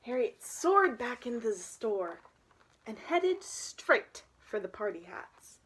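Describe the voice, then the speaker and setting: A young woman reads a picture book to children with chatty asides. Her voice is fairly high and very expressive, and she takes an unhurried pace. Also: breathy edge, poor audio. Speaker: storybook reader.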